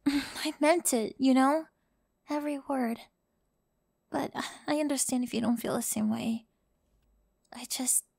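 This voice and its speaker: An earnest young stage newcomer, acted by a woman in an audio roleplay. She has a slightly high voice and a tender, hesitant delivery, with nerves showing through. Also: creaky, exaggerated voice. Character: earnest, anxious young woman, first-time stage performer. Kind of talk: audio roleplay drama acting.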